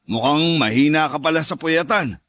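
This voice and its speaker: A man's voice: a little high, normal speed, fairly bright tone, no room sound, close to an everyday voice. A man playing a mocking, domineering macho ex-husband in a radio drama, brash and taunting. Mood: mocking, needling. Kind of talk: Tagalog radio drama acting.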